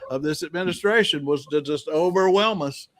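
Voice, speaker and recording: Halting higher-voiced male podcast host. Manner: halting, many restarts and fillers. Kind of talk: casual panel chat.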